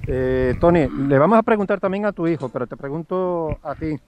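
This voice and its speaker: A man's voice: fairly high pitch, fairly quick, dull audio. A man interviewing people for local news, asking leading questions that often end with a tag question.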